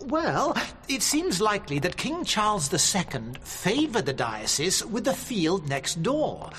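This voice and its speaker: A man's voice: sits high, wildly swooping pitch, a little slow, a bit bright, a little hiss or hum, heavily acted. An actor playing a mild, earnest, bookish but stubborn English clergyman in a radio drama. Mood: scholarly. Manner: earnest, scholarly, hesitant. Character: mild, bookish, stubborn male cathedral canon.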